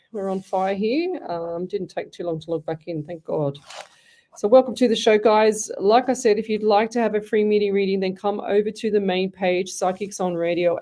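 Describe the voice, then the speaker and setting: A woman's voice: lowish voice, dark tone. Chatty Australian woman radio host. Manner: chatty, rambling, self-interrupting. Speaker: radio host and psychic medium. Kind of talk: live radio call-in show.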